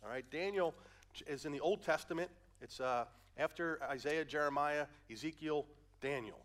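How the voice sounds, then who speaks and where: A man's voice: on the high side, normal speed, a bit bright. A male pastor preaching a conversational sermon through a head cold, asking his listeners questions as he reads and explains verses. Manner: conversational, asks the audience questions.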